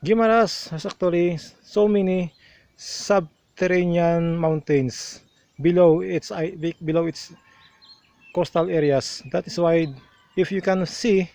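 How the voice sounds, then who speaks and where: A Filipino man vlogging outdoors on a hilltop, explaining the sights in an unhurried way, sometimes restarting his words. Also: high voice, a little mellow. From Philippines. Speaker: travel vlogger. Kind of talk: outdoor travel vlog narration.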